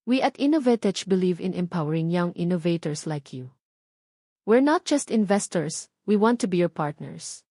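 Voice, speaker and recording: A woman with a Filipino accent voicing a charismatic young male executive in an audio drama, smooth and supportive. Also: fairly low pitch, expressive, normal speed, dark tone, dry recording, studio quality. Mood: persuasive.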